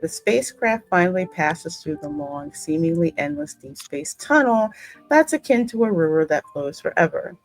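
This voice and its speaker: A woman's voice: lowish voice, animated, moderate pace, soft background music, low-quality recording. A woman gaming host reading a story aloud and breaking into casual comments. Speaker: gaming video host and narrator.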